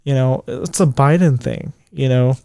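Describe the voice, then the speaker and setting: A man's voice: measured pace, gravelly, dark tone, no room sound. Man in his twenties, close dry podcast sound. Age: 20s. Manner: swears freely and voice jumps high when indignant. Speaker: podcast co-host. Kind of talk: casual podcast chat.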